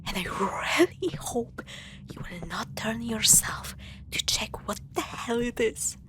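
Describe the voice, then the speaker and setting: A woman playing a frightened, protective girlfriend in a horror roleplay, speaking in a hushed, hesitant, breathy whisper. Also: on the high side, lively intonation, unhurried. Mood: hushed, nervous fear. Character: frightened, protective girlfriend lost in a haunted hospital. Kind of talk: roleplay horror audio drama.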